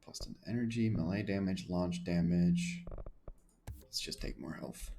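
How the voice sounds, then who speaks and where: A man's voice: sits low, a little slow, gravelly, mellow, slight background noise. A man playing a video game for the first time, chatting with viewers and muttering what he reads on screen. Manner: chatty, thinks aloud, mutters menu text. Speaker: gaming streamer. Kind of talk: casual let's play commentary.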